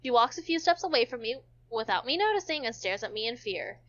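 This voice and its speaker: A woman's voice: fairly high pitch, animated, fairly quick, fairly bright tone, lo-fi sound. A woman hosting a visual novel stream, reading the narration aloud in an amateur, casual way.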